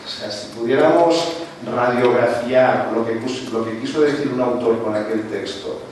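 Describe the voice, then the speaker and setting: A man's voice: medium pitch, normal speed, a little mellow, a little hiss or hum. Man lecturing from far off in a roomy hall. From Spain. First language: not Spanish. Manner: lecturing, frequent rhetorical "¿no?" tags. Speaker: panelist. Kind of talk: academic talk to an audience. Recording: roomy hall, speaker away from mic, faint ambience.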